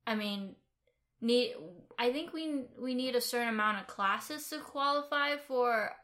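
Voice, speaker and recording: A woman's voice: a little high, a little slow, fairly bright tone. A young woman from the United States hosting a casual explainer vlog, chatty and rambling with many restarts. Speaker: host.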